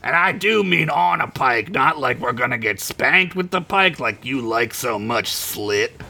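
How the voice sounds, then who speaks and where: A man's voice: mid-range voice, expressive, measured pace, vocal fry, sharp tone, heavily acted. A man voicing a foul-mouthed, bullying goblin in a comic fantasy story.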